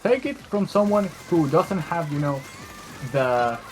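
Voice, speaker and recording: Animated man, English as a second language. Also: a little high, a little slow, faint music behind. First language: not English. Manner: animated, hums tunes, searches for words. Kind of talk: casual gaming chat.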